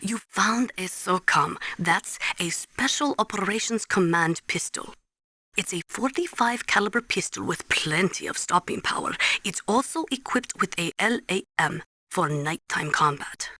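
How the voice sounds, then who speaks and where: A woman playing a tough, earnest Ukrainian nuclear weapons analyst in a video game, speaking deliberately and instructively with a Ukrainian accent. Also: on the low side, lively intonation, pushed and bright, dry recording, exaggerated voice. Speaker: military analyst and nuclear specialist. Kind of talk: scripted game voice acting, briefing.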